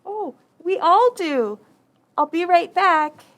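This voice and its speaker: Villager puppet, voiced by a woman: very high, animated, curious and keen to help, children's show. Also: slow, heavily acted. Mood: eager, helpful.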